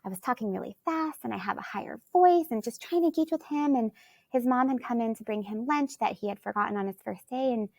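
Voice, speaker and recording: A woman's voice: fairly high pitch, quick pace, vocal fry, dry recording. A woman from Alaska, a third-grade teacher and guest, thinking aloud in a rambling way with many restarts and "you know"s. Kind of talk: conversational interview answers.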